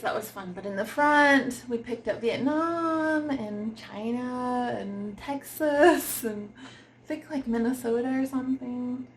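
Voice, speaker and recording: A woman giving an informal, unscripted product review, giggly and often pausing to search for words. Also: fairly high pitch.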